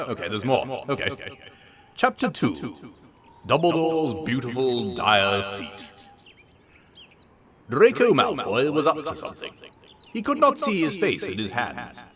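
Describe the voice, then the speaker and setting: A man reading absurd comic fiction aloud as a host, in a theatrical, mock-serious way with big swings of emphasis. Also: mid-range voice.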